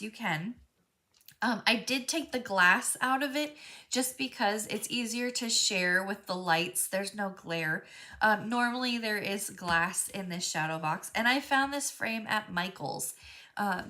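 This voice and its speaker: Stuffy-nosed woman with a bright voice. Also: mid-range voice. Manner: chatty, friendly, frequent ums. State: stuffy, froggy-voiced from a cold. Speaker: craft instructor. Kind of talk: live step-by-step crafting class.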